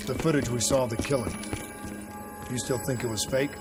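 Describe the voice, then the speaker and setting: A steady, serious special forces soldier played by a man in a video game. He asks probing questions in a measured way, in a mid-range male voice over clearly audible music. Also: mid-range voice, close to an everyday voice. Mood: resolute.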